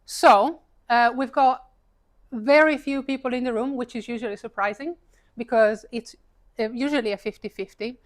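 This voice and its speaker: A woman's voice: on the high side, moderate pace. A woman with an Italian accent, in her thirties, giving a chatty, self-deprecating live talk to an audience, with frequent fillers and laughs. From Italy. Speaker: paid advertising speaker. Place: event room with an audience, seated.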